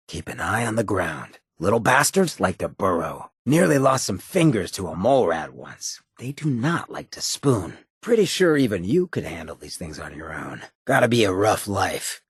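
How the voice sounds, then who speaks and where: A roguish ghoul leader from a video game, with a very husky, gravel-rough low voice and an American accent. He swaggers through clipped, slangy lines in a cocky drawl that swings freely in pitch. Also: dry recording, clean studio sound, full character voice. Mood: dry, cocky. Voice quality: raspy, gravelly. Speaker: companion character in a video game.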